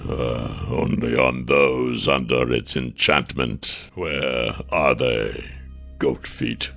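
A man voicing a huge, clawed, scaled male monster with a slow, menacing growl in an audiobook. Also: rumbling low, steady tone, vocal fry, fairly bright tone, soft background music, pitched below the performer's own voice, heavily acted. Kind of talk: audiobook character voice.

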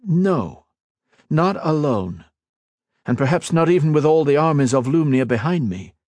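A man voicing an earnest, calm male mage lord who speaks evenly and respectfully. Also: on the high side, unhurried, no echo, voice pushed up.

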